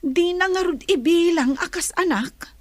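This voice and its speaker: A woman's voice: very high voice, unhurried. A woman playing a stubborn, wayward young wife who pleads insistently, in a Filipino radio drama. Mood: pleading, insistent.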